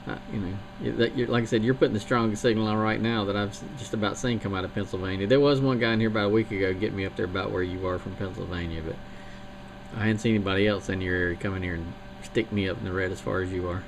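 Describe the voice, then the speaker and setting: A man's voice: lowish voice, fairly even intonation, very fast, noisy background. A man who operates a CB radio, chatting in a folksy, rambling way. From North Carolina. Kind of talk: casual radio chat.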